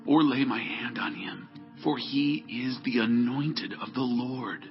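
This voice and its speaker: A man's voice: medium pitch, steady tone, takes it slowly, natural voice. A man playing a young, earnest, devout outlaw warrior who pleads in a declamatory way in a dramatized scripture recording. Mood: hushed remorse. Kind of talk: dramatized scripture audio acting. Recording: dull lo-fi audio with music.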